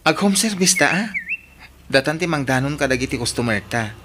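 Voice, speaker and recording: A man's voice: medium pitch, lively intonation, brisk, close to an everyday voice. A man acting a jealous, hurt middle-aged husband and doting father in a Filipino-accented radio drama, emotive and pleading. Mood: eager, coaxing. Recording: noisy radio drama recording.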